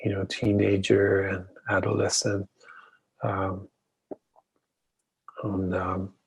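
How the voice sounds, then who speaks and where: A middle-aged man from Iran, an artist, speaking hesitantly with many pauses and fillers in a panel conversation. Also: low-pitched, completely flat delivery, creaky, a little hiss or hum, rough recording.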